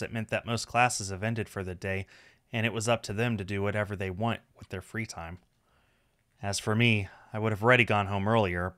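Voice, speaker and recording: Low-voiced man narrating a visual novel. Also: a bit bright, no echo, studio quality. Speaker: streamer reading a visual novel aloud. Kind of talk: expressive scripted narration.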